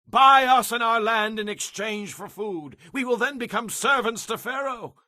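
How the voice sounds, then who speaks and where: A desperate Egyptian villager in a scripture drama. The voice is extremely high and sharp, pleading with urgency like someone starving. The recording is dry. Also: male voice, normal speed, no room sound, full character voice.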